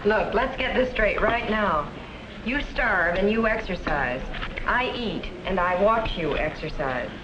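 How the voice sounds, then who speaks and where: Actress as animated young woman, noisy old film. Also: medium pitch, measured pace, lo-fi sound, lightly acted. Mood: blunt. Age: young adult. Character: blunt, easygoing young woman, friend who won't be pushed. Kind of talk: 1950s educational film acting.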